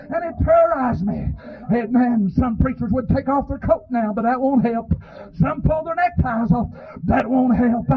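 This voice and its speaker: Male revival preacher, very high strained pitch, husky, impassioned delivery, dull lo-fi tape. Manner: impassioned, pleading, often shouting, punctuates phrases with "amen". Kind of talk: fervent revival sermon. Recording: dull, lo-fi sermon recording.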